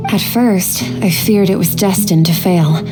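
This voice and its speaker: A woman's voice: on the low side, unhurried, natural voice. A woman voicing an earnest, idealistic young desert scholar in a video game, speaking formally and articulately. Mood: reflective, determined. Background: game background music.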